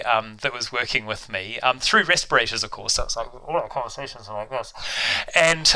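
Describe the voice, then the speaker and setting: A man's voice: medium pitch, pushed and bright, clean tone, rough recording. A man with a New Zealand accent chatting casually as an interview guest, telling anecdotes in a wry, self-deprecating way. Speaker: university biology professor, interview guest.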